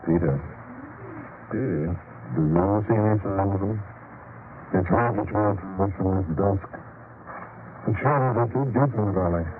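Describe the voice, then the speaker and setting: Man playing deep-voiced worried disciple, old radio. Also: normal speed, long pauses, over loud music, lightly acted. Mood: anxious. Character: worried male disciple watching for missing companions. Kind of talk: 1940s radio drama acting. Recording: noisy tinny 1940s radio broadcast.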